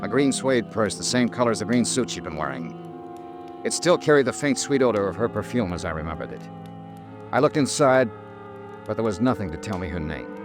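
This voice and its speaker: An actor playing a wry, hard-boiled male insurance investigator, telling his case crisply and dryly in 1950s radio noir style. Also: lowish voice, expressive, music in the background, natural voice. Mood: dry, narrating. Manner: crisp, dry, deliberate storytelling.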